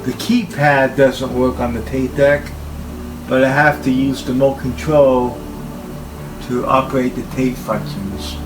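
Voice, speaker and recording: Slow-talking man over music. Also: medium pitch, fairly even intonation, low-quality recording. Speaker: hobbyist presenter showing his tape machines. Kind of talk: informal equipment talk.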